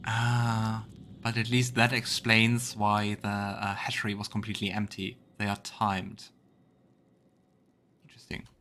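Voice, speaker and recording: A man's voice: fairly low pitch, completely flat delivery, unhurried, bright, forceful tone, slight background noise. A man hosting a let's play, commenting casually and reading dialogue choices aloud.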